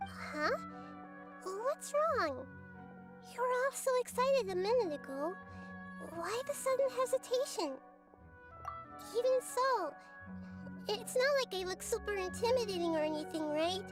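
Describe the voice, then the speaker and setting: A small girl goddess with a very high childlike voice. She sounds wise, gentle and earnest, phrasing things carefully, as in a fantasy game, with loud music behind her. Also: lively intonation. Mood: wistful. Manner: articulate, measured, earnest. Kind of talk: game voice acting.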